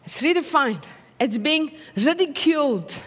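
A woman's voice: high voice, lively intonation, measured pace, long reverb tail. A woman with an Afrikaans accent preaching a sermon in a conversational way, often checking in with her listeners through tag questions.

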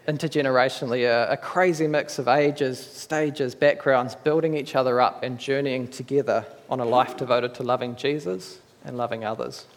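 A man with a New Zealand accent preaching a sermon in an earnest, measured, conversational way, with small restarts. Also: mid-range voice. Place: church hall. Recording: echoey church hall.

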